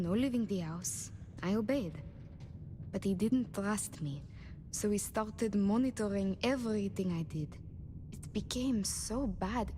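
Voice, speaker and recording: A woman's voice: mid-range voice, warm tone, a little hiss or hum, close to an everyday voice. An actress playing a sick, anxious young runaway woman, wary and wronged, in a video game. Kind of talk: video game dialogue acting.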